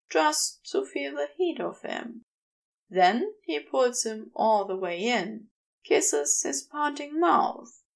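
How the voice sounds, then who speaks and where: A woman reading erotic fiction aloud as a scripted, measured narration. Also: fairly high pitch, creaky. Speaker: fan fiction audiobook reader.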